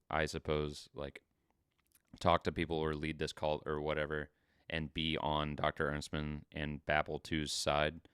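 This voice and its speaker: Very deep-voiced casual male podcaster, crisp. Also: fairly bright tone, no echo, studio quality. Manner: informal, sweary, halting as he explains. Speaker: podcast host. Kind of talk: casual review and story recap.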